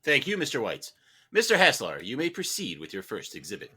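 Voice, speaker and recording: A man's voice: mid-range voice, wildly swooping pitch, brisk, bright, forceful tone, slightly rough. A man moderating a mock courtroom as its judge, in a theatrically official manner. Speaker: podcast host playing a mock judge.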